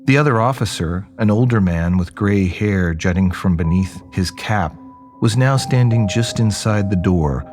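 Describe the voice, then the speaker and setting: A man's voice: lowish voice, moderate pace, darkish tone, faint music behind, natural voice. An actor playing a jaded, rebellious young man who tells his own story in a wry, conversational, rambling way. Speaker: narrator and lead character of an audio drama. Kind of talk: first-person dramatic narration, conversational storytelling.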